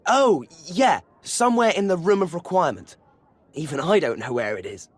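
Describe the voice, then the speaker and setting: A man's voice: fairly high pitch, very animated, moderate pace, husky. A man playing an earnest, urgent teenage schoolboy wizard with an English accent in a video game cutscene. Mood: defensive.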